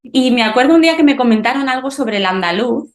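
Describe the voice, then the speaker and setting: A woman's voice: a little high, fast, roomy sound. A woman from Madrid with a Madrid Spanish accent, an English teacher telling classroom stories as a podcast guest, often restarting her sentences. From Madrid, Spain. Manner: animated storyteller with frequent restarts. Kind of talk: casual podcast conversation.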